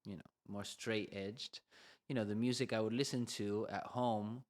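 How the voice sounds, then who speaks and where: A man's voice: lowish voice, fairly even intonation, moderate pace, a little mellow. A young Argentine man co-hosting a podcast, telling his story in a loose, rambling way full of "you know" fillers. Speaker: podcast co-host. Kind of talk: casual conversational storytelling.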